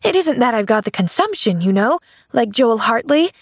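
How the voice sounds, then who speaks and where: A woman's voice: on the high side, very animated, moderate pace, slightly rough, dry recording, full character voice. A woman voicing a cheerful, eager little girl who gushes with delight. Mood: earnest.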